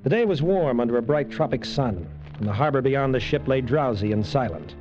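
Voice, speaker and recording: A man's voice: medium pitch, animated, brisk, natural voice. An actor playing a male adventurer in a 1940s radio drama, with a dramatic delivery. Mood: tense, brooding. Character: adventurer narrator, gripped by dread, teasing with women. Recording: vintage lo-fi radio, music behind.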